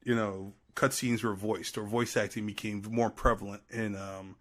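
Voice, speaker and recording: Man, from Trinidad, fairly low pitch, casual podcast guest, playful, conversational. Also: level tone, normal speed, fairly bright tone. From Trinidad and Tobago. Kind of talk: casual chat.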